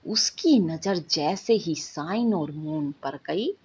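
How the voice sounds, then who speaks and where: A woman storyteller narrating a bedtime tale in an expressive, drawn-out, dramatic way. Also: fairly low pitch, slight background noise.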